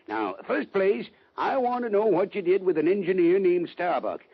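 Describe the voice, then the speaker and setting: An actor playing a folksy, wily old cowboy sidekick in a western radio drama, drawling and teasing in folksy cowboy talk. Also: male voice, high voice, big pitch swings, unhurried, creaky, husky, heavily acted. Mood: sly, threatening. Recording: muffled, crackly old-time radio recording.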